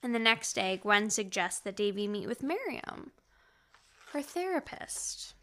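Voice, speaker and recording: A woman co-hosting a podcast, recapping a story in a casual, animated, slangy and jokey way. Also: medium pitch, unhurried. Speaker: podcast co-host recapping a novel. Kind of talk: casual chatty story recap with acted-out quotes.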